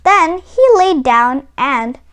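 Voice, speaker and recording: Female storybook reader for children: high pitch, very animated melody, dramatic emphasis on key words. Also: slow pace. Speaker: children's read-aloud host. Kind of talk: expressive storybook read-aloud for children.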